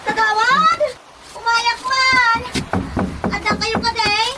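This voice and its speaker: A woman's voice: extremely high pitch, fairly even intonation, takes it slowly, noisy background. An actress playing a fretful older village woman in a comedic radio drama, exclaiming and stammering in an Ilocano accent over music. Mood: calling urgently.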